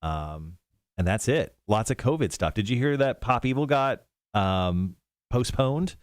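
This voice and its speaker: A man's voice: on the low side, animated, unhurried, no echo. A man co-hosting a morning radio show in a studio, chatting loosely and laughing often. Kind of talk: casual morning radio chat.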